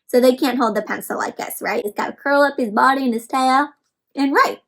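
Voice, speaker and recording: A woman's voice: high voice, brisk, a bit bright. A woman hosting an interactive read-aloud for children, speaking expressively and instructively and often putting questions to her young listeners.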